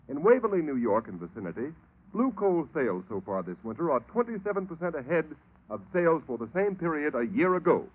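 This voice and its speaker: A man announcing a 1940s radio commercial with emphatic, carefully enunciated salesmanship. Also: fairly high pitch. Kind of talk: 1940s radio advertisement pitch. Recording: tinny old radio recording.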